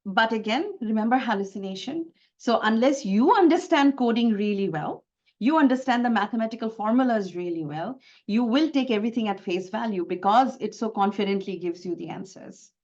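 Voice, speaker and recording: A woman's voice: fairly high pitch, animated, a little fast, darkish tone, highs cut off. A woman from Bangladesh with a Bangladeshi accent, a native Bengali speaker, presenting slides in a webinar in an earnest, explanatory way and stressing words by repeating them.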